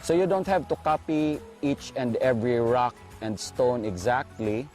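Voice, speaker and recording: A man's voice: mid-range voice, animated, normal speed, a little mellow, faint music behind. A man with a Filipino accent narrating an instructional video as an art teacher, explaining step by step.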